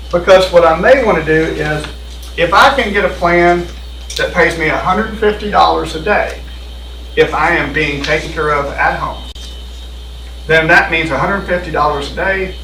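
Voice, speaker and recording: A man's voice: fairly high pitch, bright, forceful tone, slight background noise. A man explaining insurance options to a meeting in a folksy, repetitive way. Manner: folksy, explanatory, repeats himself. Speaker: insurance benefits presenter. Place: board meeting room. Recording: echoey room, mic at a distance.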